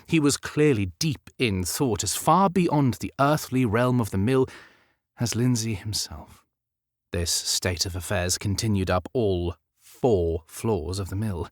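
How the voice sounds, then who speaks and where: A man reading a historical romance audiobook in a measured, expressive storytelling manner. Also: lowish voice, dry recording, clean studio sound. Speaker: audiobook narrator. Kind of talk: scripted literary narration of a historical romance.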